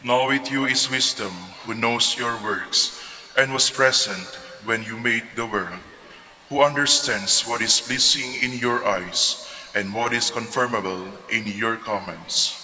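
A man recites liturgical psalms at a steady, measured pace. His tone is bright and clear. The voice rings out in the long reverb of a large church. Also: mid-range voice, faint music behind.

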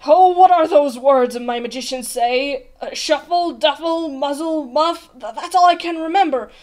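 A woman's voice: high-pitched, a little slow, darkish tone. A woman voicing a proud, imperious old king in a storybook, with a dramatic manner. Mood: groaning, desperate. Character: proud old king, commanding, groaning, bellowing. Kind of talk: storybook character voice.

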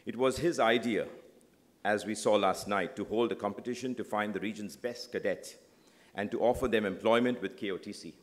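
A male conference host introduces a guest from the stage in polished, measured tones. His voice sits fairly low. The sound rings out with a long reverb through a big hall. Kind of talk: formal introduction. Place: large hotel conference hall.

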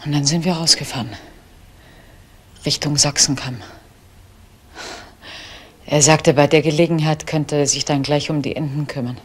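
A husky older woman in a radio crime drama. She confesses haltingly, subdued and distressed, with a rough edge to her voice. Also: deep voice, fairly even intonation. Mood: halting, remembering.

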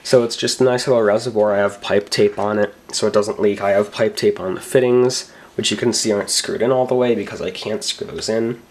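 A man's voice: on the low side, fairly even intonation, a little fast, few pauses, darkish tone. A young man with a cold, rambling casually through a home tech show-and-tell, full of fillers and self-corrections. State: recovering from a cold. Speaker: tech vlogger. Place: bedroom desk, indoors.

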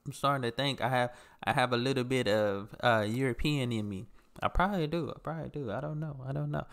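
A man's voice: mid-range voice, quick pace, fairly bright tone. A young American man hosting a reaction video, talking casually with easy laughs and slang into a headset mic. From United States. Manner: laid-back, laughing, slangy. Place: small room indoors. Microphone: gaming headset.

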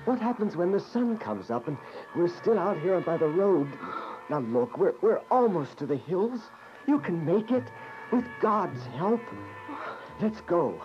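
Protective young brother played by a man, devout and earnest radio drama lead; animated pitch, dull noisy recording. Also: unhurried, faint music behind. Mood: urgent, insistent. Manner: coaxing, commanding, earnest. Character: protective, urgent, devout brother leading an escape. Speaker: actor. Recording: dull, lo-fi audio with clear noise.

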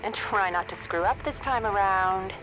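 An actress playing a stern, demanding military commander, giving crisp orders over the radio in a video game. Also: female voice, mid-range voice, normal speed, over music. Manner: crisp, authoritative radio procedure. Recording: noisy radio transmission.

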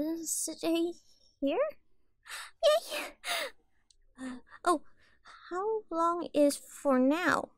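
A woman's voice: sits high, darkish tone, no room sound, studio quality, heavily acted. A woman voicing a timid, sweet, childlike catgirl in a roleplay audio, hesitant and stammering, meek and eager to please. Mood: delighted.

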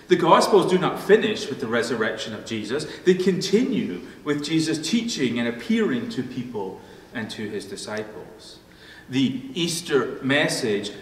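A middle-aged or older man, a pastor, delivering a sermon with measured, deliberate phrasing. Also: medium pitch, a bit bright. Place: church room at a wooden pulpit. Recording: echoey church hall, distant mic, faint noise.

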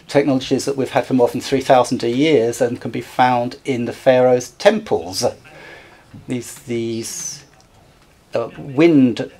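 A man gives a live interpretation of a conference keynote in a soft-edged, mellow voice of medium pitch. He speaks slowly and haltingly, often backing up to find a better word. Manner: halting, groping for words and correcting himself. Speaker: simultaneous interpreter.

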